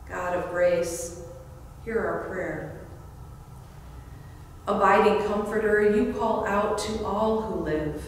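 A woman pastor in her forties leads the prayers of a worship service in a measured, solemn way, unhurried and deliberate. Her voice rings through a large sanctuary with a long reverb tail. It is picked up from some distance by a stand mic at the lectern. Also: mid-range voice, a little hiss or hum. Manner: measured, solemn, slow responses.

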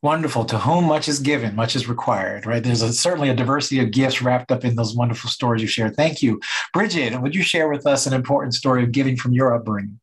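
A man's voice: medium pitch, quick pace, slightly rough, rough recording. A middle-aged man moderating a panel discussion over a video call, speaking earnestly with some fillers. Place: home study with bookshelves. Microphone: video call webcam.

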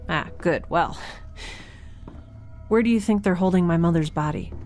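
A woman playing a quirky, excitable young woman in a space audio drama, chatty, wry and rambling. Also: fairly low pitch, moderate pace, faint music behind, lightly acted. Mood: eager, wry.

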